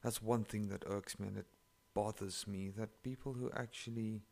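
Gravelly low-voiced man. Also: steady tone, normal speed, darkish tone. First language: not English. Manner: sarcastic, profane running commentary. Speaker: story reader. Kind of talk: reading online stories aloud with commentary.

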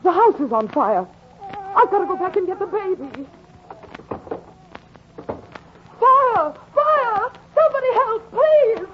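A distraught young mother speaks high, with wide swings of pitch. The melodramatic 1940s radio acting sits in a muffled, noisy recording. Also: animated, moderate pace. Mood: panicked. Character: distraught young mother escaping a house fire. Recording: dull, noisy 1944 recording with crowd.

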